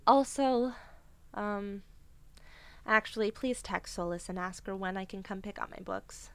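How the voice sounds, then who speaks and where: A woman's voice: medium pitch, measured pace, clean tone, close to an everyday voice. An actress playing a chatty, indecisive young city witch in an audio drama. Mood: irritated.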